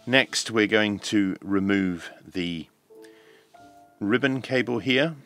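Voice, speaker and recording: Slow, low-voiced man giving a tutorial. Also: a bit bright, soft background music. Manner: measured, explanatory, step by step. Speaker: host of an electronics teardown channel. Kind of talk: hands-on tutorial commentary.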